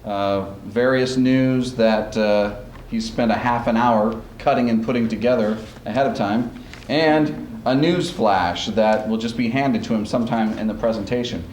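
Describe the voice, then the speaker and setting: A man's voice: on the low side, normal speed, a little mellow. A man speaking as a coach and host, informally introducing an event to a live audience in a rambling, explanatory way. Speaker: speech coach hosting a student showcase. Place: large room at a school event. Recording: echoey, noisy archive recording of live event.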